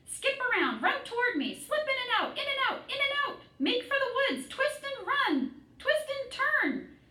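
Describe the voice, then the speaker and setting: A woman doing a shrill bossy goose. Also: big pitch swings, normal speed, bright, forceful tone, exaggerated voice. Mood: urgent. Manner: shrill, repeats phrases. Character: bossy excitable female goose. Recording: echoey room, distant mic.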